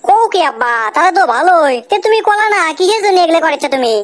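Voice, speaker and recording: A man voicing an excitable, scheming teenage son in a comic cartoon, whiny and brash, with a pitched-up effect. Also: fast. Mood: excited, curious.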